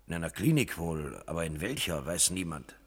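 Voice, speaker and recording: An actor playing a gossipy, plain-spoken veteran court constable in a German radio play, talking in a folksy way. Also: male voice, low-pitched, very animated, normal speed, slightly rough, heavily acted. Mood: confiding. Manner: colloquial, folksy. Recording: long reverberant hall.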